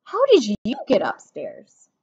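Woman as a startled night watchman, high-pitched. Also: wildly swooping pitch, slow, a little mellow, husky, no echo, lo-fi sound, full character voice. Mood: curious. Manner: exclaiming, questioning.

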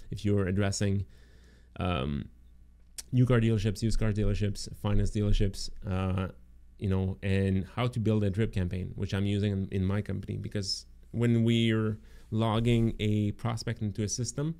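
Man in his thirties, low mellow tone, tired throaty voice, casual filler-heavy livestream pitch. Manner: rambling, many fillers and restarts. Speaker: agency owner presenting course bonuses. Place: home room indoors. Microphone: close studio mic in frame.

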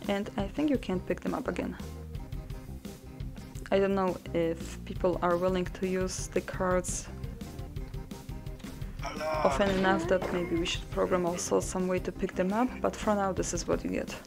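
Young woman narrating gameplay from her desk, gravelly fry in her voice, chill and dry, game music underneath. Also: lowish voice, normal speed, long pauses. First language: Polish. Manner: relaxed, dry humor, thinks aloud with ums. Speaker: mod developer showing a game. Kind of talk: casual gameplay commentary.

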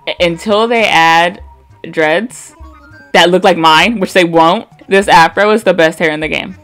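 Chatty midwestern woman with vocal fry. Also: on the low side, a little slow, soft background music. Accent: American Midwest. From American Midwest. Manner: chatty, blunt, swears freely, reads game dialogue aloud flatly. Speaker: gaming streamer. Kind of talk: casual gameplay commentary.

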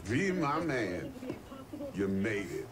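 A man's voice: on the low side, sing-song, slow, a loud crowd. An actor playing a coolly condescending, menacing male gangster boss in a cinematic game trailer. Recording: game trailer audio under loud music.

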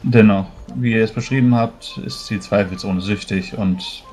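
A man with a low voice roleplays at a tabletop game. He explains in a formal, measured manner, with music playing faintly behind him. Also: steady tone, darkish tone. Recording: voice over background music.

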